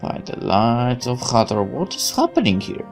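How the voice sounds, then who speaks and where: A woman's voice: extremely low pitch, huge pitch swings, moderate pace, a little mellow, soft background music, exaggerated voice. A woman voicing a naive, idealistic young male soldier, earnest and questioning, in a visual novel game. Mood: troubled.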